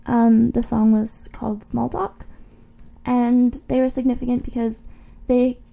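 A woman's voice: on the high side, flat delivery, unhurried, vocal fry, mellow. A woman co-hosting a radio show, chatting casually and informally about music between songs.

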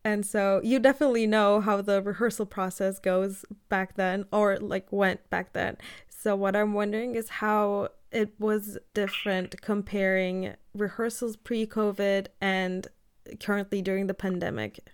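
A clear, smooth woman's voice at a middle pitch, taking its time. She interviews conversationally, with a polite, slightly hesitant manner as she works her way toward each question. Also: level tone, a little slow.

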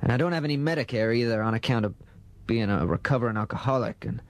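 A man doing a halting, woeful old miner. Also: medium pitch, no room sound, lightly acted. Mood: sorrowful, regretful. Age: older. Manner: halting, stammering, humble, folksy. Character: meek, woeful old retired coal miner. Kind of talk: comic testimony.

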